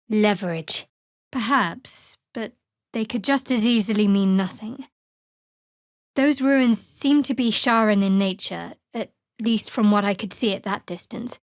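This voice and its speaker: A woman voicing a guarded, sardonic young female cleric in a fantasy video game, with a dry, measured, wry delivery. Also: medium pitch, no room sound, lightly acted.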